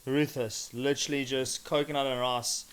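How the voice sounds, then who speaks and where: A man co-hosting a podcast, relaxed and agreeable, swearing casually. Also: mid-range voice, normal speed, a little hiss or hum. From South Africa. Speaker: podcast co-host.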